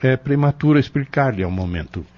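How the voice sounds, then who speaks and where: An actor playing a wise pharmacist and spiritual adviser in a Brazilian radio drama, firm, measured and didactic. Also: male voice, medium pitch, animated, lightly acted. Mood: firm, assured. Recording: dull audio with music.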